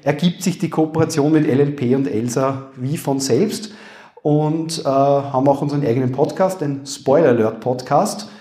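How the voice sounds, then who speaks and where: A man with an Upper Austrian accent, a lawyer speaking live to an audience, making dry joking asides that trail off low. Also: moderate pace, warm tone. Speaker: lawyer and comedy panelist. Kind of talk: live stage talk with jokes. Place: university hall before a live audience. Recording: echoey room.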